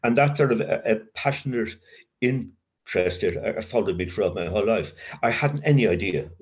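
An older man who stutters, telling his recovery story in a halting, reflective way with gentle dry humour. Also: on the low side, expressive. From Ireland. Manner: stuttering, halting with blocks and repeats. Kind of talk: personal testimony. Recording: slightly dull, muffled recording.

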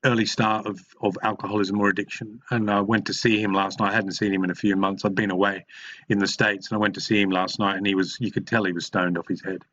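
A middle-aged man with an Australian accent, sharing his story at a recovery meeting, talking haltingly with many pauses and restarts. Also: lowish voice, level tone, fairly bright tone, highs cut off. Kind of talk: personal share at a support meeting.

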